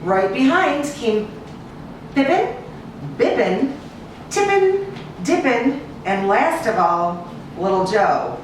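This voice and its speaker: A distant, very reverberant recording with some noise carries an American woman's voice. She reads like a children's storyteller, expressive and sing-song, in a fairly high voice and at an unhurried pace. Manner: expressive, sing-song listing with drawn-out repeats. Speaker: animal cruelty investigator and rescue agent reading to children. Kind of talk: children's storybook read-aloud. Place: echoey indoor room, away from the mic. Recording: distant, very echoey room with hiss.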